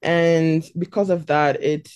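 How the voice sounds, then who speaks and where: Guest in her twenties from South Africa, warm and thoughtful, close and dry. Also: low voice, steady tone, slow, clean tone, dry recording. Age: 20s. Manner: thoughtful, searching for words. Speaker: stylist and guest. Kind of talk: interview answers.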